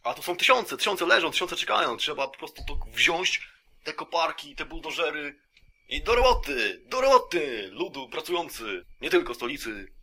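A man's voice: a little high, very animated, normal speed, vocal fry, bright, forceful tone, slightly rough. A man hosting a podcast, delivering a satirical monologue as a mock lecture in a rambling, conversational, mock-serious way with rhetorical tag questions. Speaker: podcast host.

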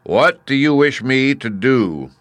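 A great, stern wizard played by a man speaks as a giant talking head from a storybook. The voice is loud and raised in pitch, with slow, weighty commands. Each phrase lands with emphatic authority, in a very dry recording. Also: animated, no room sound. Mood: imperious. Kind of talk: storybook character voice.

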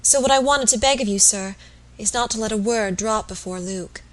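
A woman's voice: mid-range voice, moderate pace, pitched above the performer's own voice, natural voice. A woman voicing an anxious, deferential young wife in an audiobook, speaking eagerly and earnestly. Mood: eager, confiding. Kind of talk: audiobook character voice.

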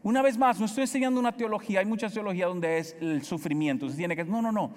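A man with a Dominican accent preaching a sermon as pastor, pressing his points with emphatic repetition and swinging between loud and soft. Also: high voice, quick pace, big-room sound. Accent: Dominican Spanish. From Dominican Republic.

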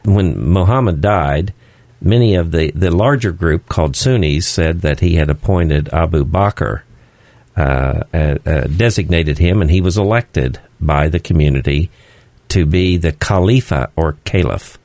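Deep-voiced man explaining slowly on radio. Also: darkish tone, no echo. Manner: slow, halting, many "uh" fillers. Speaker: studio guest. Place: radio studio.

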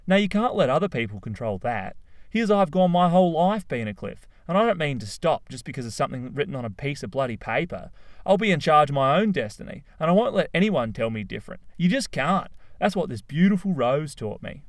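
A man voicing an excitable, self-dramatizing young male poet who tells his story in a gushing, animated way. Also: on the high side, fairly quick, dry recording, studio quality, voice pushed up. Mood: excited.

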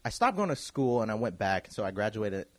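A man in his thirties from Kansas City, a guest telling his story in a relaxed, rambling way, full of fillers and restarts. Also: on the low side, brisk, no room sound. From Kansas City, USA. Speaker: guest, clothing designer. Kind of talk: casual podcast interview.

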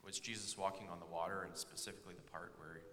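A man's voice: low-pitched, fairly even intonation, a bit bright. A man, a father and Sunday school teacher, sharing thoughtfully on stage in a church. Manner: thoughtful, measured with fillers. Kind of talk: casual testimony on stage. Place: church sanctuary. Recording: echoey hall with faint room noise.

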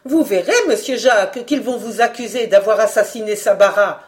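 A woman voicing an excitable, gossipy older housekeeper who talks in a rambling, colloquial way. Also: mid-range voice, moderate pace, natural voice. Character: chatty older female housekeeper, garrulous and excitable.

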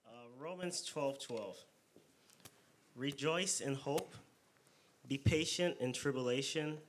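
A man, a Yoruba speaker from the country's southwest, reads scripture aloud in a church. He reads slowly and with care, in a voice of ordinary middle pitch. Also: slight background noise. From southwestern Nigeria. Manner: slow, measured reading. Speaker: scripture reader.

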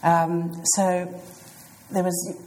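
A woman in her fifties with a British accent, an author answering interview questions in a thoughtful, hesitant way with many pauses. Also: fairly low pitch, little pitch movement, darkish tone, a little hiss or hum. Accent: British English. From South Africa. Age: 50s.

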